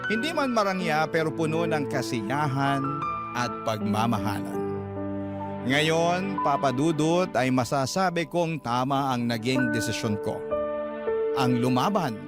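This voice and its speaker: Pinoy guy radio host reading heartfelt stories, dramatic narration, music loud behind him. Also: medium pitch, lively intonation, unhurried. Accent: Filipino. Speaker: radio show host and story reader. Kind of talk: dramatic narration of listener love letters. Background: loud instrumental music.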